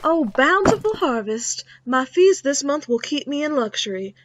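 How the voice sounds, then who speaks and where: A woman's voice: sits high, big pitch swings, normal speed, a bit bright. A woman playing a gleefully morbid frontier doctor in a western radio drama, theatrical and relishing, with muttered asides. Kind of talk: old-time western radio drama acting.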